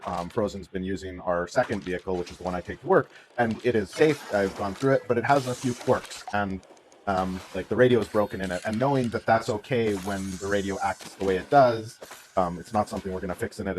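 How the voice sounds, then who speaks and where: A man's voice: low voice, a little hiss or hum, low-quality recording. A man co-hosting a casual livestream, talking reflectively and often searching for his words. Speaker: livestream co-host.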